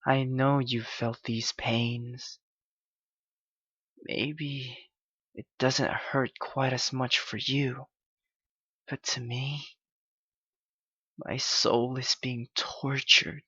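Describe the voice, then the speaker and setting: A clingy, wounded young male yandere, performed by a man pours out his heartbreak in an intimate ASMR roleplay. His voice is breathy and rough, and he speaks extremely slowly, halting and pleading. The recording is dry and slightly muffled. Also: mid-range voice, flat delivery, pushed and bright, no room sound, exaggerated voice. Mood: quiet sorrow. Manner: slow, halting, pleading, anguished delivery.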